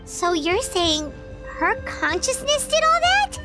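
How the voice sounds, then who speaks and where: A woman voicing a tiny, excitable, childlike fairy companion in an anime-style video game, with a squeaky, exclamatory delivery. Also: takes it slowly, over loud music, heavily acted. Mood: curious, chatty. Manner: exclamatory, naive, refers to self by name.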